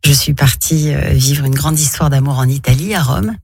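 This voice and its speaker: A woman's voice: extremely low pitch, moderate pace, creaky, darkish tone, breathy edge, dry recording, studio quality. A woman actress from Morocco, a guest on a radio interview, telling her life story with many hesitations and restarts.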